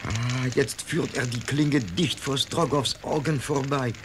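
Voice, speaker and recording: An actor playing an excitable, indignant French gentleman reporter in a radio play, full of agitated exclamations. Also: medium pitch, unhurried, noisy background, lightly acted. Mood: alarmed. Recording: music behind the voice.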